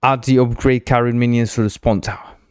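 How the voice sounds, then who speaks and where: A man's voice: mid-range voice, level tone, fairly quick, a little mellow, clean tone, no echo, clean studio sound. A man whose first language is Spanish, hosting a casual live coding stream, thinking aloud, repeating himself and playfully teasing his chat. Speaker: game developer streaming live.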